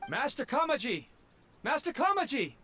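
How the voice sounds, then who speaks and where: A man's voice: very high voice, very animated, normal speed, noisy background. An actor playing a nervous young male servant who stammers and speaks humbly. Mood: alarmed.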